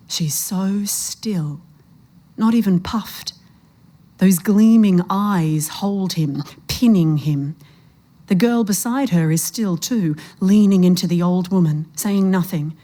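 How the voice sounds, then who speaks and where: A woman's voice: on the low side, mellow. A woman narrating a suspenseful short story in a literary reading style.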